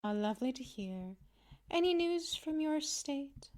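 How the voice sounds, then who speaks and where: Woman playing a slow-speaking, refined duchess. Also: high-pitched, lively intonation, darkish tone. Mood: curious, amused. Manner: refined, courtly, measured. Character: gracious, ambitious, secretive noblewoman, a duchess. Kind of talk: ASMR roleplay acting.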